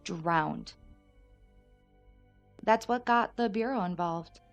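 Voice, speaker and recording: Woman acting a steady, probing federal agent. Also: on the low side, takes it slowly, faint music behind. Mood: serious, confiding. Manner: questioning, reassuring. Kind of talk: radio play acting.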